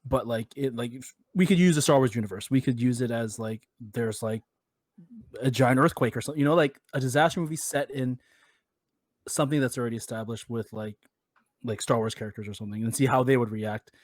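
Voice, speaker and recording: A man co-hosting a podcast, talking hesitantly with many pauses and fillers. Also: medium pitch, no room sound, clean studio sound. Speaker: podcast co-host. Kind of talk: casual chat.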